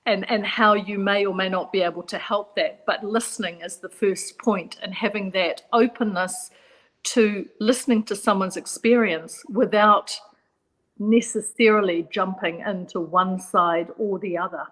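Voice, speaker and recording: A middle-aged woman with a New Zealand accent talks as a psychologist guest on a radio discussion. She is measured and reflective, repeating phrases to stress her points. Her voice sits at an everyday middle pitch. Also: fairly even intonation, fairly bright tone, rough recording.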